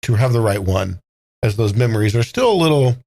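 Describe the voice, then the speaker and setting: Measured man role-playing, close and dry. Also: lowish voice, lively intonation, vocal fry, a little mellow, no echo. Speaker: player. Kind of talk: improvised in-character role-play.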